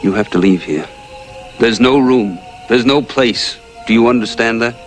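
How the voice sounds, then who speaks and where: Stern but loving dad, played by a man, old television drama: earnest, measured, medium pitch, clear underscore. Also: lightly acted. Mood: firm, tender. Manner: deliberate, firm, earnest. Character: stern yet loving older father. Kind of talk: mid-century TV drama acting. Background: dramatic music.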